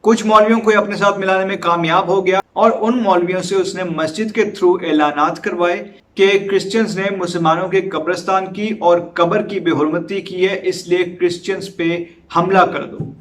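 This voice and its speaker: A man's voice: high voice, fairly bright tone. A Pakistani man presenting news commentary in an earnest, urgent manner, dropping in English words and appealing directly to viewers. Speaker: presenter of a news and discussion channel.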